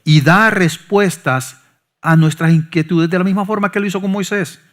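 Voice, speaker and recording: A middle-aged pastor, preaching a sermon that swings from forceful emphasis to soft, low asides. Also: male voice, moderate pace. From Honduras. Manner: preaching with sudden soft drops and rising emphasis.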